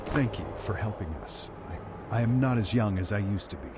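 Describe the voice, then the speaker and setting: An actor voicing a dignified older deposed ruler in a game, speaking in a measured, formal way. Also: male voice, lowish voice, animated, close to an everyday voice. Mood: grave. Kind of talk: game cutscene voice acting. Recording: game audio with clear ambient noise.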